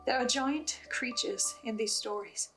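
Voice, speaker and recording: A woman's voice: fairly high pitch, a little slow, over music. A woman hosting a commentary in a dramatic, emphatic manner, speaking to the listener as she lays out theories. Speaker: host recapping a horror audio drama. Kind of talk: theorizing commentary, scripted with asides.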